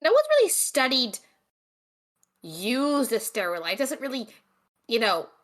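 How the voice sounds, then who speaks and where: A woman chatting in character in a tabletop game, halting and repeating her words. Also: fairly high pitch, very animated, fairly bright tone. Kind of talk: casual in-character role-play chat.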